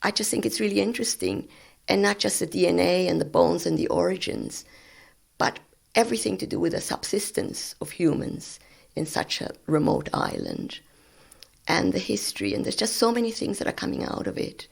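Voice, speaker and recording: A woman in her sixties whose first language is Spanish, a guest scientist telling stories of her work in a relaxed, animated way. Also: lowish voice, moderate pace, creaky, husky. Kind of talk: conversational storytelling.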